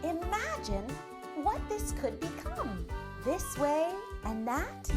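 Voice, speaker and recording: A woman hosting a children's show, giving simple, encouraging instructions in an expressive way. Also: very high voice, slow, over loud music. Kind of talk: instructional kids' show presenting.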